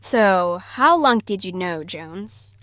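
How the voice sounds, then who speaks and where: A woman's voice: mid-range voice, lively intonation, takes it slowly, fairly bright tone, dry recording. A woman acting in an audio drama as a mysterious, earnest maiden and fearless ally with cryptic, formal phrasing. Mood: gentle, curious.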